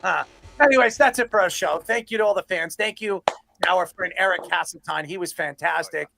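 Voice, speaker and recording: A man's voice: sits high, fairly quick, gravelly, noisy background. A man hosting a sports talk show with casual, rambling banter, often repeating himself. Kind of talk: casual banter and sign-offs.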